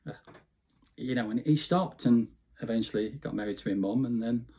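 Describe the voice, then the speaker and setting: Bolton bloke in his 50s chatting about his life, relaxed dark-ish voice, says you know a lot. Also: mid-range voice, normal speed. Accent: Bolton, Lancashire. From Bolton, Lancashire, England. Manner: chatty, informal, frequent "you know" tags. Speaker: interview guest. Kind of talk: casual reminiscing interview.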